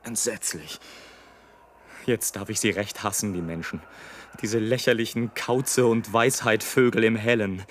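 An actor playing an anguished, visionary balloonist in a literary radio play, declaiming a solemn dramatic monologue. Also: male voice, lowish voice, unhurried, a bit bright, a little hiss or hum. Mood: anguished, bitter. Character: anguished, visionary balloonist narrating war below, bitter and rapturous.

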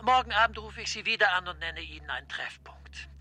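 An actor playing a smooth, threatening official who blackmails a young man and sinks into whispered threats. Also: high-pitched, brisk, bright, forceful tone. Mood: menacing. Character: menacing male EU director general, blackmailer.

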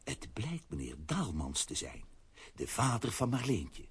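A man's voice: mid-range voice, huge pitch swings, a little slow, husky, heavily acted. A man narrating a children's radio play as a vivid scripted storyteller.

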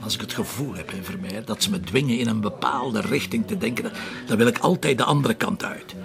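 A man's voice: fairly low pitch, a little fast, gravelly, music in the background. A man playing a stubborn, wry, distrustful police commissioner with a Flemish accent in a radio drama, speaking in a sardonic, contrary way. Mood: insistent. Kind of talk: naturalistic radio drama dialogue.

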